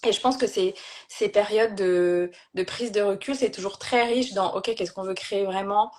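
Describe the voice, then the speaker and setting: A woman's voice: mid-range voice, steady tone, normal speed, a little mellow. A woman hosting a casual live-stream conversation as a life coach, speaking thoughtfully and sharing personal anecdotes.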